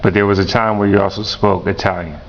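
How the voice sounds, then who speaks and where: A man, a teacher, interviewing a student slowly and repeating each answer back. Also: steady tone. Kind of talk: classroom interview. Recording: dull lo-fi recording with rumble.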